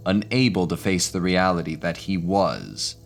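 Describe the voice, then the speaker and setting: A man's voice: sits low, very animated, moderate pace, gravelly, soft background music. A man announcing in an ominous, scripted horror introduction style.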